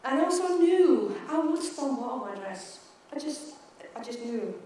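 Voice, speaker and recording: A middle-aged woman with a Swedish accent speaks English to a conference audience, and she often stutters and repeats her words. Her voice sits high. It comes from a distance in a large, echoing hall, with faint noise underneath. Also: expressive, moderate pace, mellow. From Sweden. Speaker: keynote speaker. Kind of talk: conference keynote speech. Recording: echoey hall, mic from far off.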